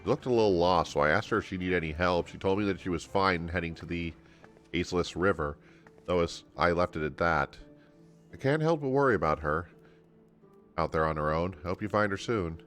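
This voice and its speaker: A man's voice: low-pitched, brisk, fairly bright tone, faint music behind. A man voicing a helpful, concerned townsperson in a fantasy video game.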